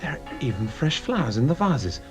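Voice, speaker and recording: An actor playing an earnest, easily shocked gentleman, a detective's questioning companion in a radio drama. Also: medium pitch, big pitch swings, brisk, music in the background, lightly acted. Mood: nervous, amazed. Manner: questioning, incredulous.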